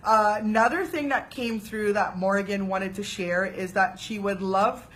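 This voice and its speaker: A woman from Trinidad and Tobago giving a casual spiritual talk, chatty and animated, often checking in with "right?". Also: medium pitch, moderate pace. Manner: chatty, animated, frequent uh fillers and "right?" asides. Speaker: spiritual teacher.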